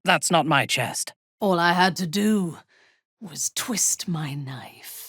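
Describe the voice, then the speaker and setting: An actress playing a ruthless, haughty dark elf warrior in a video game, cold, commanding and menacing in her measured delivery. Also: fairly low pitch, animated, breathy edge, no room sound, studio quality, exaggerated voice. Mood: hushed threat. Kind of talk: video game character voice acting.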